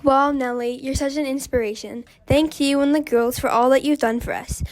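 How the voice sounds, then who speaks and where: A woman's voice: high voice, fairly even intonation, moderate pace, close to an everyday voice. A woman playing an upbeat, polite host of a 1920s women's rights radio show, reading from a script.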